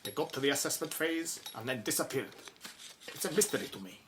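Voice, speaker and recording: A man plays a male archaeologist, polite yet firm. The voice is crisp-edged and bright at medium pitch, as heard in a radio play. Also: animated, a little fast, a little hiss or hum, natural voice. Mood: friendly, thoughtful. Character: courteous but firm male Egyptian archaeologist and official. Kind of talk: radio drama acting.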